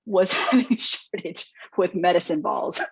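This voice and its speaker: A woman's voice: on the low side, moderate pace, husky, no echo. A woman hosting a panel, chatting in an informal, effusive way over dull, lo-fi call audio. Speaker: panel host. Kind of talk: casual chat.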